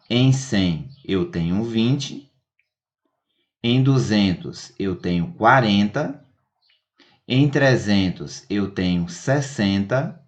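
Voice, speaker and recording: A man with a fairly low voice gives an instructional lesson as a math teacher. He speaks very slowly and methodically, setting out each step in repeated, orderly phrasing. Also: lo-fi sound. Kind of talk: instructional video lesson explanation.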